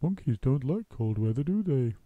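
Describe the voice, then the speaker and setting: A man voicing a kindly, knowing bird who talks calmly and reassuringly. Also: mid-range voice, moderate pace, no echo, narrow, tinny audio, pitched above the performer's own voice, close to an everyday voice.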